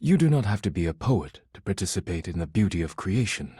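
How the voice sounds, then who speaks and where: A solemn, earnest male video game character who loves poetry. His voice is low and heavily husky, recorded close and dry. He speaks formally and with measured pride, choosing each phrase with care. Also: big pitch swings, dark tone, no echo, full character voice. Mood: earnest, reflective. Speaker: voice actor in a dating simulator game.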